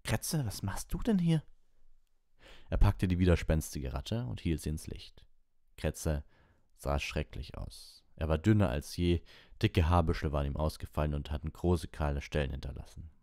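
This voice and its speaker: Male storybook narrator: extremely low pitch, dark soft tone, close dry sound. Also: moderate pace, no room sound. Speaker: audiobook reader.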